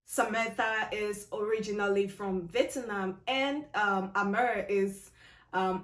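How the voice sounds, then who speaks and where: A woman hosting an online interview, greeting guests and asking measured questions. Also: medium pitch. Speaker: interview host. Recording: echoey room with faint music.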